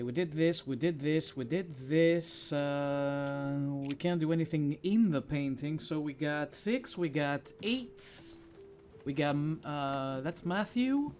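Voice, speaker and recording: A man's voice: fairly high pitch, expressive, takes it slowly, a little mellow, faint music behind. A man hosting a gaming video, thinking aloud and grumbling in casual commentary as he plays.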